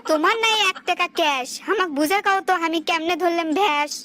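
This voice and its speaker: Woman playing shrill, nagging cartoon village wife. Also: fast talker, sharp tone. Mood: indignant nagging. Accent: northern Bangladeshi. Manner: complaining, sing-song rhyming delivery. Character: feisty, long-suffering, nagging village wife bickering in rhyme. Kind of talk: comic dialect cartoon dialogue.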